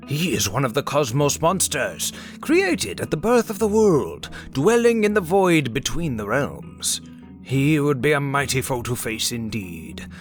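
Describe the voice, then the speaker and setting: A man acts out a wise old Viking explorer for an audio story. The pitch moves expressively while the phrasing stays formal, measured and unhurried. Music plays faintly behind. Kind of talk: audio story character acting.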